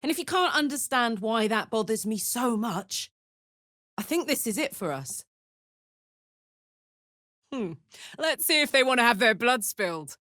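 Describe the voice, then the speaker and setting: Video game tiefling fighter, female: blunt, battle-hardened, big-hearted, with wide swings of pitch and a close, dry studio sound. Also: lively intonation, a little slow, pauses often, sharp tone, no room sound. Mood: angry. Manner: blunt, informal, emotionally open soldier's talk. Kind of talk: video game character voice acting.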